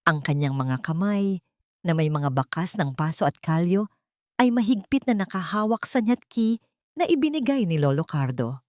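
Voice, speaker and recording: A woman storyteller reading a scripted romantic drama in a solemn, measured, dramatic way. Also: lowish voice, expressive, a little mellow, no echo. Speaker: storyteller, narrator.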